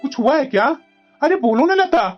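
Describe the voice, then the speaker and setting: A woman's voice: sits high, expressive, moderate pace, muffled recording, soft background music. A woman voicing a protective elderly husband in a family drama, speaking earnestly and consolingly. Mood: concerned. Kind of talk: Hindi family drama story dialogue.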